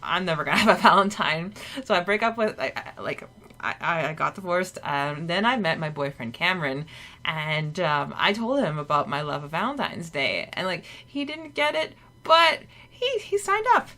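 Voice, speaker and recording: A woman's voice: fairly low pitch, big pitch swings, normal speed, bright, forceful tone. A woman co-hosting a fan podcast from a friend's home, chatting casually with fillers and laughter. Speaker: podcast co-host. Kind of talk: casual chat.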